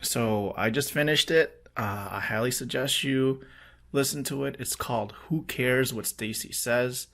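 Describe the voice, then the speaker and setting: A middle-aged man with a hoarse, sore voice, rambling casually in a video diary about his work. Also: medium pitch, a little slow, a bit bright. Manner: rambling, many restarts and fillers. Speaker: medical coding vlogger.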